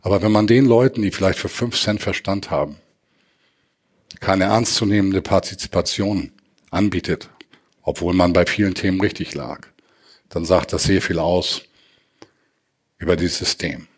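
A man's voice: low voice, breathy edge. A middle-aged man, arguing emphatically and rhetorically as an expert in a debate. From Hamburg, northern Germany. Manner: emphatic, rhetorical, deliberate phrasing. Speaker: economic expert, panel guest. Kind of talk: impassioned debate argument.

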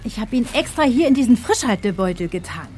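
An actress playing a brisk, self-assured young female client reporting a puzzling break-in in a German audio drama. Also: on the high side, very animated, darkish tone, slight background noise. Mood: matter-of-fact, insistent.

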